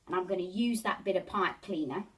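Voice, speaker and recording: A woman's voice: on the low side, a little fast, fairly bright tone, far from the mic. A woman hosting a casual hands-on craft tutorial, chatty and talking to herself as she works. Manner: chatty, self-talking while working.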